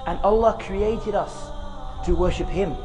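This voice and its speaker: High-voiced man preaching earnestly. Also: long reverb tail, over music. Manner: earnest, slow, with Arabic recitation chanted. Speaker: preacher giving a short reminder. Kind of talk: earnest sermon to camera. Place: outdoors in a graveyard.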